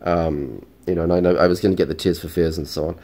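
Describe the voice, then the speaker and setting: A man hosting a casual record haul vlog, talking in a chatty, rambling way with lots of ums, his voice croaky and stuffy from a cold. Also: deep voice, fairly even intonation, quick pace, mellow. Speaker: vinyl collecting vlogger.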